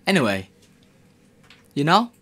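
A man's voice: a little high, very animated, pushed and bright. A young man from Indonesia, chatting shyly in broken English on a webcam video call from a small room. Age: young adult. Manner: slow, friendly, a bit shy with broken English. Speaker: street-style singer chatting with strangers. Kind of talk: casual video chat. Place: small room indoors with blue curtain. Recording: acoustic guitar behind the voice.

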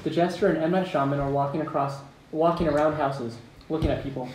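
A man's voice: fairly high pitch, fairly even intonation, quick pace, darkish tone. A man reading out stage directions as narrator at a script table read. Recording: echoey room, distant mic, background noise.

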